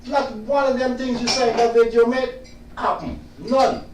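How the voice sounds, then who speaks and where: A man with a fairly high voice talks loudly and insistently, going back to the same complaints again and again. He is a little away from the mic in a very echoey room with faint noise behind him. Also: level tone, moderate pace, far from the mic. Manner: loud, insistent and repetitive. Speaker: client complaining about his solicitor. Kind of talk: casual venting monologue.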